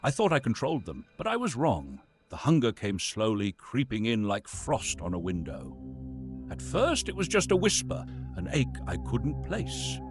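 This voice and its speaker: A man playing a weary, tormented, sinister old Santa, narrating a horror confession in a brooding, deliberate, theatrical way. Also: mid-range voice, huge pitch swings. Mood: ominous, then haunted. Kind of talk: dramatic horror monologue narration. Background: music.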